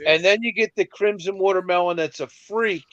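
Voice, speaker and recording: A man from Pennsylvania rambles through long stories as a guest on a casual stream. His voice sits high for a man, and it comes through muffled and dry, like a cheap lo-fi mic. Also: moderate pace, fairly bright tone, no echo. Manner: rambling storyteller. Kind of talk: casual group chat on a livestream.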